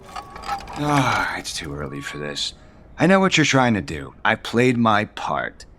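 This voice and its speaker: Casting: haughty, sardonic soap husband, low animated voice, bitter and dry, music bed underneath. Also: male voice, slow pace, exaggerated voice. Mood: cold, bitter. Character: cold, sardonic, embittered husband and developer. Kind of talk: audio soap opera acting. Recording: prominent music bed behind.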